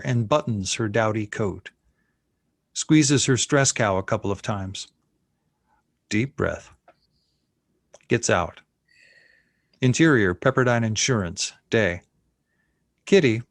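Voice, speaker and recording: A man's voice: medium pitch, dry recording. A man reading scene headings and action lines as narrator of a screenplay table read. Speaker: narrator reading stage directions.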